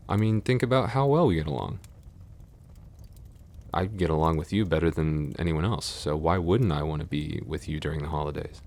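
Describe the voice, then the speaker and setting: Man acting as very deep-voiced, tender boyfriend. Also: heavily acted. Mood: reassuring. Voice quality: very deep, creaky. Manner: soft, hesitant, tender and reassuring. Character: gentle, nervous best friend confessing love, adult man. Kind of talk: ASMR boyfriend roleplay.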